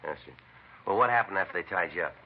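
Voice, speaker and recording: An actor playing a terse American police detective in a vintage radio drama, clipped, deadpan and matter-of-fact. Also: male voice, low-pitched, fast. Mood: polite, probing. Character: terse, businesslike Los Angeles police detective sergeant. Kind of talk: 1940s-50s radio acting, first-person police narration. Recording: muffled hissy vintage radio recording.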